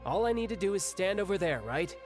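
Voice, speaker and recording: Cocky, theatrical young rogue. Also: male voice, high-pitched, big pitch swings, brisk, over music. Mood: impatient. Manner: sardonic, theatrical, breezy. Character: cocky, flippant young male mercenary villain. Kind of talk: video game voice acting.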